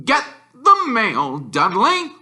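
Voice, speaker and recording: A man puts on a bossy middle-aged storybook uncle, pushed high and forceful. He barks gruff orders at his household in a slow, loud drawn-out way. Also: huge pitch swings, exaggerated voice.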